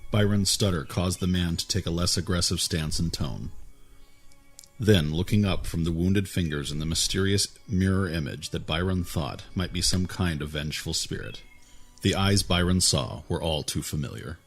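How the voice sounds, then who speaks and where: A man reading a fantasy story aloud in a calm, even way, with a husky voice. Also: deep voice, normal speed, soft background music. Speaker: narrator. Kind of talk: storybook narration.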